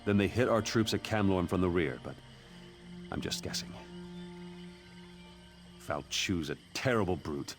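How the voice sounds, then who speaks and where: A man's voice: low-pitched, expressive, measured pace, long pauses. An actor playing a harried, scornful court chamberlain in a fantasy video game, formal and wry in his exasperation. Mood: worried, scornful. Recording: music behind the voice.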